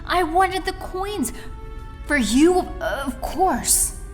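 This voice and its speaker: A woman playing a haughty, volatile witch queen in an audio drama, with theatrical, drawn-out delivery. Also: very high voice, lively intonation, exaggerated voice. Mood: cowed, pleading. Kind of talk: villain acting in audio drama. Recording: long hall reverb, music behind.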